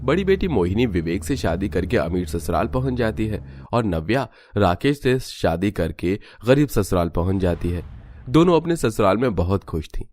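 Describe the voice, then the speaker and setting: A man's voice: on the low side, big pitch swings, moderate pace, darkish tone, no echo. A man reading a scripted story as its narrator.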